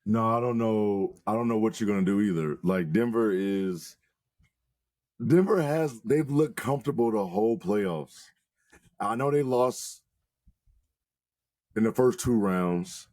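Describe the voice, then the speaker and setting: A hoarse man who has nearly lost his voice, co-hosting a casual sports talk show with emphatic, profane opinions. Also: fairly low pitch, a little slow, pauses often, dry recording, clean studio sound. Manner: animated, emphatic, swears freely. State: losing his voice. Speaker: podcast co-host.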